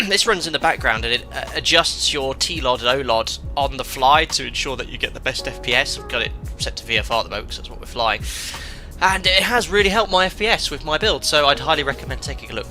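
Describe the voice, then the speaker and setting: A man hosting a live flight-sim stream, talking casually with a bright, sharp edge to his voice. He sounds croaky and bunged up from a cold, and hums of "uh" fill his sentences. Soft music plays behind him. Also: mid-range voice. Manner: chatty, many filler ums and uhs. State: congested from a cold. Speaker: streamer and airline pilot.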